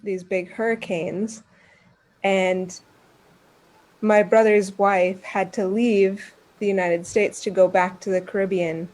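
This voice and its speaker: A woman's voice: medium pitch, fairly even intonation, slow, darkish tone, poor audio. A woman speaking as a guest expert, giving earnest explanatory answers in an interview. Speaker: guest expert on environmental communication.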